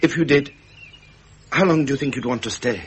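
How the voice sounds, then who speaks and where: An actor playing a young, tender, self-doubting prince in a 1970s British radio drama, with an upper-class English accent. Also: male voice, mid-range voice, a little fast, husky. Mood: tender, earnest.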